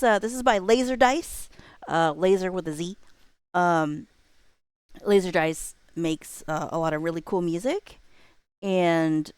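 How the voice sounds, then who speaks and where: A woman's voice: fairly low pitch, clear, resonant tone, no echo. A woman livestreamer chatting casually, rambling playfully and half talking to herself. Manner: rambling, talks to self while working, playful. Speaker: livestreamer, host.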